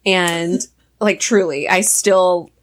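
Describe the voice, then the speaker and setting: A woman's voice: fairly low pitch, fairly even intonation, slow pace. A woman in her twenties in casual chat, talking freely and often saying "like". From United States. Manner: chatty, heavy use of "like".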